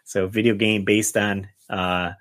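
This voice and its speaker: A man's voice: lowish voice, flat delivery, measured pace, fairly bright tone. A man chatting casually as a podcast host in an easygoing manner.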